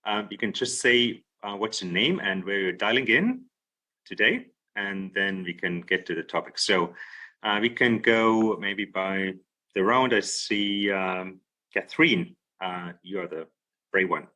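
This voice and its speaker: Guy running a zoom session, lower voice but bright, lots of ums. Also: measured pace, poor audio. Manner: relaxed, many fillers. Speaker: session host. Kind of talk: casual video call moderation. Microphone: video call.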